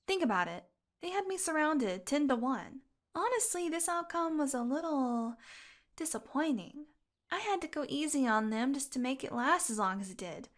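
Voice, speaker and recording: A woman playing a possessive fantasy healer in an audio roleplay, tender and secretly bloodthirsty, speaking softly and deliberately. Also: high-pitched, expressive. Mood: smug, menacing. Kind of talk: intimate audio roleplay monologue.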